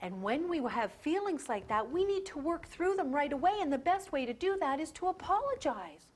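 Patient storybook dad, calm and instructive, read by a woman narrator. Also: high-pitched, normal speed, voice pushed up. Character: calm, gentle father giving a lesson.

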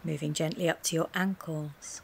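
A woman with a slightly low voice guides a mindfulness relaxation session. She speaks gently and soothingly, in short measured phrases with pauses between them. There is clear background noise on the recording. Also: fairly even intonation. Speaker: wellness coach guiding a relaxation session.

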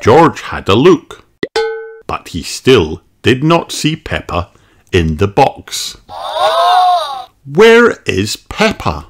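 A woman narrating a children's picture book, reading deliberately with carefully separated words for young learners. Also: very deep voice, very animated, slightly rough. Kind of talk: slow read-aloud storytelling for young learners.

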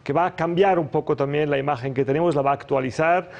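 A man in his fifties speaks on a TV studio set through a lapel mic, his voice a little higher than usual and gravelly. He talks in a measured, careful way, pausing on filler sounds as he gathers his words. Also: a little mellow. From Germany. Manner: measured, hesitant with frequent "em" fillers. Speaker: ambassador guest. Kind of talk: TV morning show interview.

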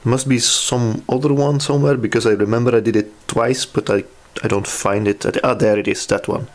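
A man's voice: mid-range voice, fairly even intonation, moderate pace. A man presenting a hands-on restoration casually, hesitant and thinking aloud as he explains each step. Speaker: hobby radio restorer presenting a repair. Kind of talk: casual hands-on repair walkthrough.

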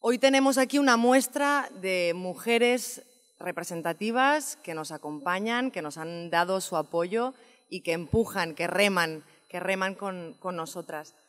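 A woman's voice: lowish voice, measured pace. A woman with a Spanish accent introducing speakers on a conference panel, often repeating her phrases. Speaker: panel moderator. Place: conference hall stage. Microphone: table microphone. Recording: echoey conference hall.

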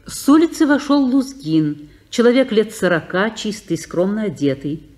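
A woman reading stage directions for a radio play in an even, measured way. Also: medium pitch. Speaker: narrator.

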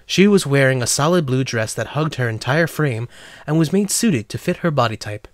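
A male narrator reads a scripted story for an audio drama. His voice sits at a middle pitch and is recorded close and dry. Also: no echo.

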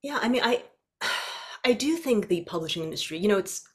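A woman's voice: mid-range voice, animated, normal speed, husky. A woman author on a panel, speaking thoughtfully and carefully over a video call from a home study. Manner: thoughtful, hedging. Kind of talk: casual panel talk.

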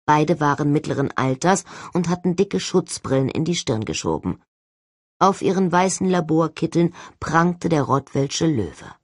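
Husky, animated audiobook narrator. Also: mid-range voice, measured pace, no echo, clean studio sound. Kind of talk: expressive scripted first-person story narration.

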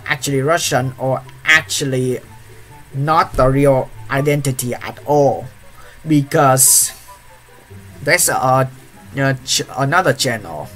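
A man's voice: medium pitch, a bit bright. A young man whose first language is Vietnamese, telling a story on his vlog with lots of fillers and restarts, recorded on a webcam in a small room. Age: young adult. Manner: hesitant, many fillers and restarts. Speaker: YouTuber.

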